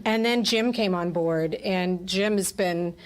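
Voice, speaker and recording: A woman's voice: lowish voice, slow. A woman speaking as a theater performer and company leader, chatting and explaining in a radio interview.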